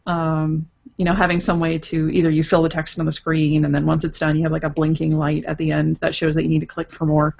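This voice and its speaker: A woman's voice: sits low, monotone, a little fast, gravelly, a little mellow, muffled recording. A woman explaining her work casually as an interview guest, in hesitant, stop-and-start phrasing. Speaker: guest, visual novel creator.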